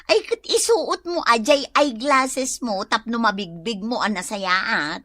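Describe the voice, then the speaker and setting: A woman's voice: on the high side, lively intonation, slow pace, pushed and bright, no echo, studio quality, exaggerated voice. A woman acting a teasing, gossipy co-worker in a radio drama. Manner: teasing, giggly.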